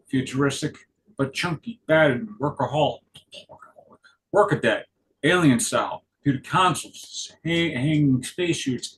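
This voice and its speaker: A man narrating stage directions at a script table read, halting and correcting himself as he goes. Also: fairly high pitch, fairly bright tone, low-quality recording. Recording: clear background noise.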